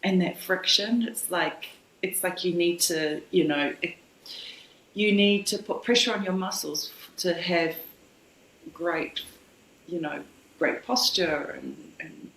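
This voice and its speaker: A woman in her forties with a New Zealand accent talks on a remote call in a lowish voice. She is reflective and unhurried in thought, restarting sentences as ideas form. Her voice carries a noticeable echo from the room. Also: a bit bright, a little hiss or hum. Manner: reflective, loose and searching, frequent restarts. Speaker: guest, brand founder. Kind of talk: casual conversational interview. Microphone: remote video call.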